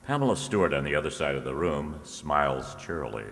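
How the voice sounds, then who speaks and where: A man's voice: extremely low pitch, lively intonation, a little fast, a bit bright, full character voice. A man playing a formal announcer and narrator in an old-time radio drama. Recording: echoey hall, long reverb.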